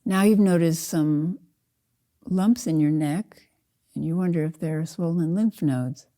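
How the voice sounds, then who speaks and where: Older woman with a very dark, mellow voice. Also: low-pitched. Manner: measured, deliberate, spoken in short phrases with pauses. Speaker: Zen teacher leading a guided meditation. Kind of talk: slow guided meditation narration.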